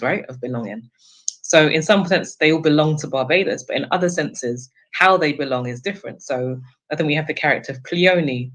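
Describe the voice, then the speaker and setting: A woman's voice: low voice, low-quality recording. A woman speaking as a book club panellist, articulate and emphatic, often checking in with a short tag question. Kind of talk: discussion, literary commentary.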